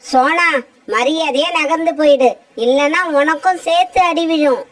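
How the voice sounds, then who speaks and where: A woman as a high, threatening mother bird. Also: moderate pace, far from the mic, natural voice. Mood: angry, threatening. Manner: threatening, scolding. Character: scheming, vengeful mother bird with a hot temper. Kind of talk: children's cartoon story acting.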